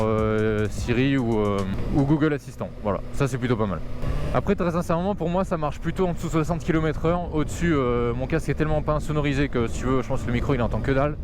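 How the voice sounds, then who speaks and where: A man giving a casual, slangy, chatty gear review while riding a motorcycle, speaking into a helmet intercom microphone. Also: mid-range voice. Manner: informal, slangy, chatty, occasional swearing. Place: outdoors, riding a motorcycle in traffic. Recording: road and vehicle noise behind voice.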